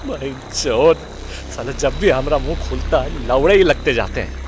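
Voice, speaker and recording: A man's voice: medium pitch, moderate pace, faint music behind, natural voice. A man playing a cowardly, foul-mouthed comic captive in a horror-comedy audio drama, stammering, whining and swearing. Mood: exasperated.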